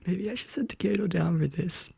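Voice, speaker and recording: A soft-spoken ASMR host murmuring and whispering intimately and slowly in a soothing bedtime-story style, close to the microphone. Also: mid-range voice, vocal fry, a little hiss or hum. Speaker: ASMR video creator and host. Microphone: close studio condenser microphone.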